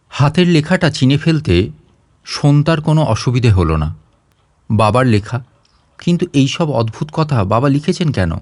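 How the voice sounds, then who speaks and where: A man's voice: medium pitch, darkish tone, no room sound. Man narrating a story, dry close sound. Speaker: audio story narrator and channel host. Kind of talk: scripted narration of a short story.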